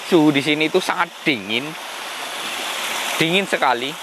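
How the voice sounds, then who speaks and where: A man's voice: fairly high pitch, expressive, moderate pace, very noisy. A man with a slight cold talking casually to viewers as a host, often breaking into religious exclamations. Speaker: paranormal channel host.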